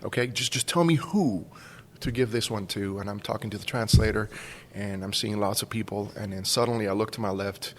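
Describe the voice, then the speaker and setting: A man's voice: lowish voice, brisk, big-room sound, slight background noise. A man in his forties from Cuba, casually telling a personal story as a podcast guest. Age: 40s. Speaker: school staff member, podcast guest.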